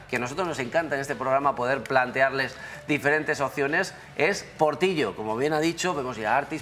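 A man's voice: mid-range voice, fairly bright tone. A young man presenting a local TV magazine show in a studio. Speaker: TV magazine host.

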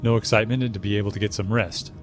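A man's voice: fairly low pitch, fairly even intonation, very fast, soft background music, natural voice. An actor playing a teasing, watchful armed spaceship crewman in an audio drama.

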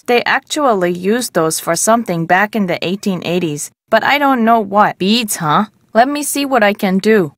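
An actress as a wry American shopkeeper, dry sound. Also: female voice, mid-range voice, no echo, close to an everyday voice. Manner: casual, dryly humorous. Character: wry, businesslike woman shopkeeper in ranch country. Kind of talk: scripted video game dialogue.

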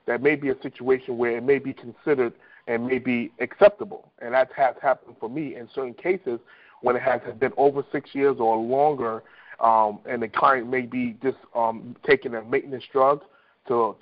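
A man giving informal team coaching on a conference call over the telephone, conversational and repeating himself. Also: mid-range voice. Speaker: insurance agent team leader. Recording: dull, lo-fi conference phone line.

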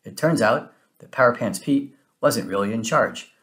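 A man reading a children's story aloud in an expressive, animated way with dramatic emphasis. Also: medium pitch, normal speed. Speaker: storybook reader. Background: music.

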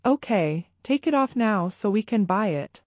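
A woman doing a gentle old grandmother. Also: medium pitch, a little slow, no echo, clean studio sound. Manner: gentle, calm, firm. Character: kind patient old grandmother. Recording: music playing behind.

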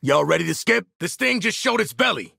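An actor playing a cocky, gruff American mercenary sniper in a video game, with a gritty, raspy voice and brash, streetwise, punchy delivery. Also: male voice, high-pitched, expressive, fairly quick, pushed and bright, no echo, clean studio sound, heavily acted. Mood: urgent, tough.

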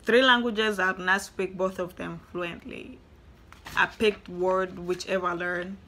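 A woman's voice: lowish voice, fairly even intonation, unhurried, pushed and bright, slight background noise. A young woman from Tanzania, chatting to camera in a small room and repeating things for emphasis. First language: Swahili. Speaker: vlogger. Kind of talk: casual talk to camera.

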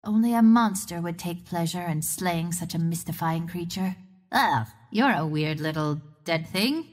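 A woman's voice: fairly low pitch, a little slow. A woman playing a confident, wry young female wind ranger in a video game, with a self-assured, teasing, theatrical delivery. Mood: cool, resolute. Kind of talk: video game hero voice lines.

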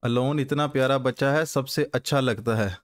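A man's voice: mid-range voice, normal speed. A man, live-streaming a mobile game from a small room and bantering with viewers in chat. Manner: switches between Urdu and English, bantering with chat. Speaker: gaming streamer. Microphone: headset.

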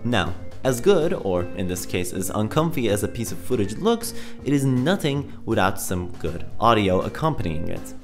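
A man's voice: fairly low pitch, sing-song, moderate pace, hardly any pauses, soft background music. A young man hosting a scripted vlog about his coding project, talking into a close handheld mic in a self-deprecating, dryly joking way. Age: young adult. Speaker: video creator, host. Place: home room, indoors, mostly. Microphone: handheld microphone held close.

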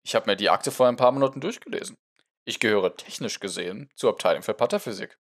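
A man's voice: fairly low pitch, animated, fast talker, a bit bright. A man voicing an exasperated, nerdy male researcher with a dry, lecturing manner in an audiobook. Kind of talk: audiobook character acting.

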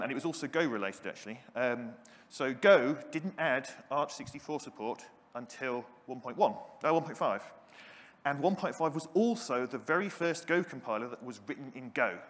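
A middle-aged man delivers a technical conference talk at an average pitch. He sounds keen and pokes fun at himself, filling pauses with ums. His voice carries the long reverb of a big hall. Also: a bit bright. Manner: enthusiastic, self-deprecating, with frequent ums. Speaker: conference keynote speaker. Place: large conference hall stage.

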